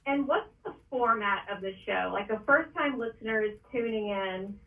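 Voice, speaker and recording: A woman's voice: on the high side, normal speed, far from the mic, phone-quality sound, a little hiss or hum. A woman interviewer asking questions in a casual conversational style.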